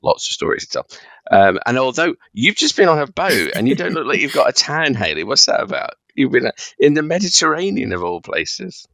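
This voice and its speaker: A man's voice: mid-range voice, big pitch swings, a little fast, fairly bright tone, no echo. A man hosting a podcast, joking and rambling in easy banter. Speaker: podcast host. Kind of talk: casual podcast chat.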